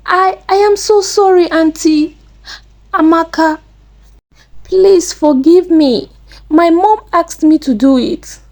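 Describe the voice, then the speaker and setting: A woman's voice: sits high, very animated, measured pace, darkish tone, voice pushed up, full character voice. A woman voicing a guilty, frightened young house girl in a folktale, pleading and dramatic.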